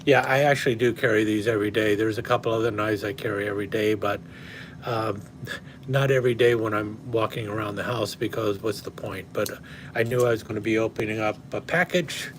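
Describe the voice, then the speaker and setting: A man hosting a casual livestream and rambling with lots of fillers and restarts, his voice slightly hoarse from talking. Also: lowish voice, steady tone, brisk, a little hiss or hum, rough recording. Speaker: knife collector livestream host. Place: at home indoors.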